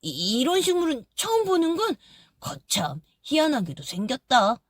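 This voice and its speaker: A woman voicing a kind, gentle tree who talks calmly and with some wonder. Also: sits high, moderate pace, no room sound, studio quality, voice pushed up, full character voice.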